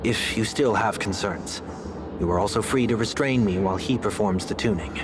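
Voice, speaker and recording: A man voicing a composed, formal gentleman in a video game, earnest, measured and very polite. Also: on the low side, background noise, close to an everyday voice. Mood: courteous, earnest. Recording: game music behind the voice.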